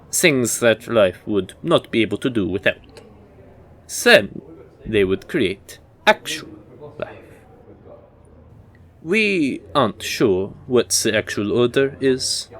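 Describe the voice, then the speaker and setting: Guy playing a fantasy history professor, really slow and deliberate, formal lecture, noisy ambience behind him. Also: medium pitch. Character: measured, scholarly male university professor in a fantasy world. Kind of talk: scripted audio roleplay lecture.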